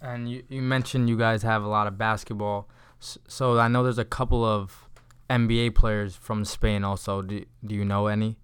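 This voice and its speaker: A young man hosting a casual interview show, asking questions in a relaxed, conversational way. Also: lowish voice, normal speed, hardly any pauses, clear, resonant tone, dry recording. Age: young adult. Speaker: host.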